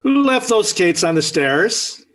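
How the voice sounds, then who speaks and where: A man's voice: high voice, very animated, slow, a bit bright, exaggerated voice. A man playing a grumpy, exasperated middle-aged father in a 1940s radio sitcom. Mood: indignant. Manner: indignant, blustering.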